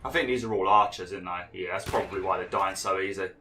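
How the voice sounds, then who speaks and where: A young man doing chatty, rambling gameplay commentary, a little hoarse and nasal from being ill. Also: low voice, fast, sharp tone, faint music behind. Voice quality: slightly nasal, froggy throat. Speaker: gaming YouTuber.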